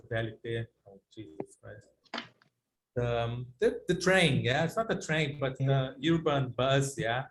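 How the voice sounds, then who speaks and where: A man's voice: mid-range voice, slight background noise, rough recording. A man from Brazil whose first language is Portuguese, speaking English on a video call and searching for words, often adding "yeah". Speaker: guest. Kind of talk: casual discussion on a video call.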